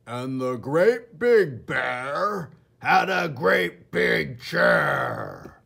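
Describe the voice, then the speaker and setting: A man voicing a huge great big storybook bear with a gravelly, exaggerated, drawn-out delivery. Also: a little high, expressive, bright, forceful tone, pitched above the performer's own voice, heavily acted. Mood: grand.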